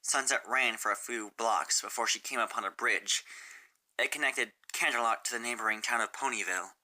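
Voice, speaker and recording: A woman's voice: very deep voice, a little fast, sharp tone. A woman reading fan fiction aloud as a narrator, in a dramatic storytelling style.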